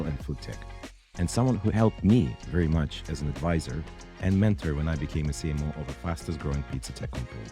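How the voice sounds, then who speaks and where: A man's voice: very deep voice, warm tone. A man hosting an interview podcast, giving prepared introductions and questions to a guest.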